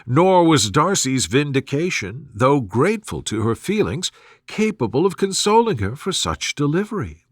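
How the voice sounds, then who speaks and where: Lively-pitched man reading a soothing story. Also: dry recording, clean studio sound. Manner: measured, formal literary reading. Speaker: narrator of sleep stories. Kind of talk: soothing audiobook narration.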